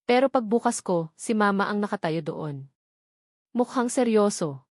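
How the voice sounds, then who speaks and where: A woman's voice: medium pitch, lively intonation, moderate pace, a little mellow, no room sound. Filipino woman narrating romance drama, dry sound. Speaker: narrator of audio love stories. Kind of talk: scripted first-person romance drama narration.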